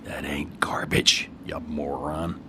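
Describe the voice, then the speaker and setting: An actor playing a cocky, scheming street drifter with swaggering, slangy talk in a radio drama. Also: male voice, extremely low pitch, flat delivery, takes it slowly, gravelly, pushed and bright, a little hiss or hum, heavily acted. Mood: impatient, bossy.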